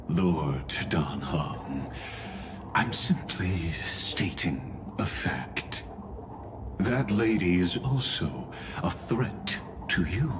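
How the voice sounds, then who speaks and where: Very deep, slow villain genius. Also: male voice, animated, noisy background, full character voice. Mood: ominous, measured. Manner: formal, measured, courteous, ominous. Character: cold, courtly male genius villain, cryptic schemer. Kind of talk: scripted video game dialogue.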